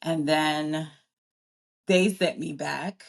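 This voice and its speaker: A woman in her early forties, originally from Jamaica, talking as a podcast guest. Her voice sits a little low, and she tells her story in loose, circling sentences, stopping often to correct herself and laughing along the way. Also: fairly even intonation, extremely slow, vocal fry. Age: early 40s. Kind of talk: casual interview chat.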